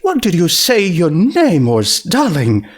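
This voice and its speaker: Male reader as genteel young mare, high-pitched, slow, dainty, polite, storybook character. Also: wildly swooping pitch, slightly rough, exaggerated voice. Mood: polite, refined. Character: genteel, ladylike young adult female pony, polite, hesitant.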